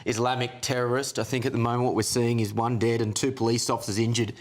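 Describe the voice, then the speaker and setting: A man's voice: mid-range voice, flat delivery, fast talker. A man on a TV debate panel giving grave, earnest commentary. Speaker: panel guest.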